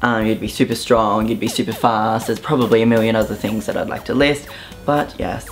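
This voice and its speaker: Relaxed young Australian man vlogging. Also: lowish voice, brisk. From Southeast Queensland, Australia. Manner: relaxed, offhand, many ums. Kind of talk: casual vlog monologue.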